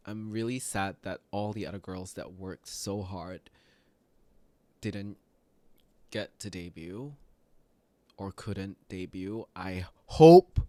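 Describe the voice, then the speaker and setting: A man giving casual, emotional reaction commentary as a host, speaking haltingly and often repeating himself. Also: on the low side, a little mellow, no echo. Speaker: host of music reaction videos.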